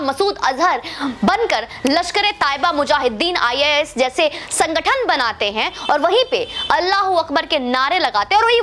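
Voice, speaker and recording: A woman with a clearly high voice speaks as a political commentator. She argues combatively, addressing her opponents directly with sarcasm and pointed rhetorical questions. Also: fairly bright tone, a little hiss or hum. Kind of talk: opinion monologue to camera.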